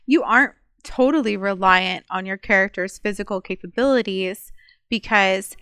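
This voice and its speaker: A woman's voice: medium pitch, moderate pace, bright, forceful tone, clear, resonant tone, dry recording. A woman chatting casually as a panelist and player on a gaming podcast. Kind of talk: casual chat.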